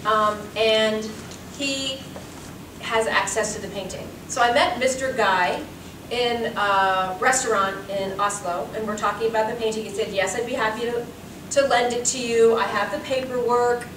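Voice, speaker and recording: Woman curator, chatty storyteller, slightly high voice, echoey seminar room, distant mic with hiss. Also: steady tone, normal speed. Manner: chatty storyteller, laughs often. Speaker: museum curator giving a talk. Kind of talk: lecture with anecdotes. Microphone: room mic from far off.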